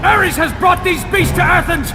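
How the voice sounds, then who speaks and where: An actor playing a panicked soldier in a besieged city, yelling frantic warnings in a video game. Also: male voice, very high voice, moderate pace, loud music behind the voice. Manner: shouted, frantic. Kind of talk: video game cutscene acting.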